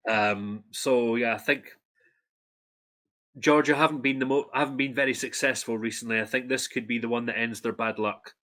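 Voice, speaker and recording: A young Scottish man co-hosting a casual music chat, sharing facts with frequent ums and pauses. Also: medium pitch, fairly even intonation, pushed and bright. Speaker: co-host, Eurovision fact presenter.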